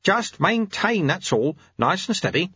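A man's voice: high-pitched, big pitch swings, a little slow, pushed and bright, breathy edge, dry recording, muffled recording, voice pushed up, full character voice. A man voicing a wily, cheerful old sweeper who coaxes and teases in colloquial speech, as an audiobook character. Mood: encouraging. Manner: colloquial, chirpy, coaxing.

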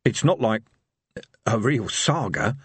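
A man voicing a small, gruff, sentimental villain, a dark lord in an audiobook, with a hoarse voice. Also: fairly low pitch, very animated, slow, no room sound, exaggerated voice. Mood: gruff, moved. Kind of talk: audiobook character voice.

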